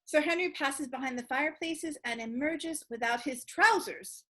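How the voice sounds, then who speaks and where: Woman narrator reading stage directions for a silly play, high bright voice. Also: moderate pace.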